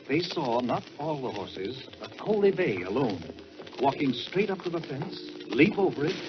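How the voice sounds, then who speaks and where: A man telling a story in a dramatic 1940s radio style, theatrical and emphatic, with deliberate pauses for effect. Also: medium pitch, noisy background. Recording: dull old-time radio recording with music.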